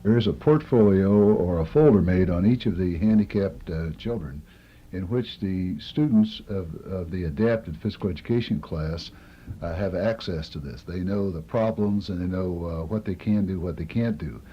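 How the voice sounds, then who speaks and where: Deep-voiced middle-aged man, muffled tape. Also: moderate pace, husky. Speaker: college football coach and professor, interviewee. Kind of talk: explanatory interview talk. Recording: dull old tape with faint noise.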